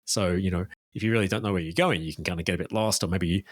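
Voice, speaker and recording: A man's voice: deep voice, very fast, no echo, studio quality. A man who works as a tour guide, talking as a guest in a casual interview and giving detailed, enthusiastic recommendations.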